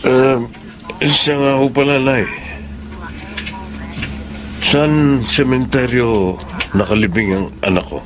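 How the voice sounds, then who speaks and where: A man's voice: medium pitch, fairly even intonation, slow pace, long pauses, lightly acted. A man playing an earnest, emotional grown son and grieving father in a radio drama, starting phrases hesitantly. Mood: wistful. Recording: noisy radio drama track.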